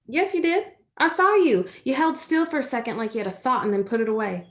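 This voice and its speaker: A woman's voice: on the high side, a little fast, close to an everyday voice. A woman acting a folksy, coaxing country girl. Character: caring, prodding country girl, loyal best friend. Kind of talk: stage play acting.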